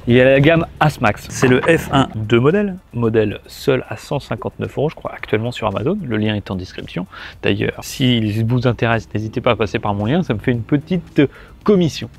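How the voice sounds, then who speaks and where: A man's voice: mid-range voice, expressive, brisk, gravelly, slight background noise. A man giving a casual hands-on product tutorial, chatting informally to the viewer and thinking aloud as a gear reviewer.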